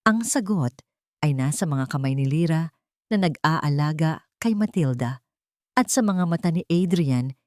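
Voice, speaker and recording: Female storyteller for scripted romantic drama: slightly low voice, measured sentimental phrasing, very dry recording. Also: expressive, darkish tone, dry recording. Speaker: narrator.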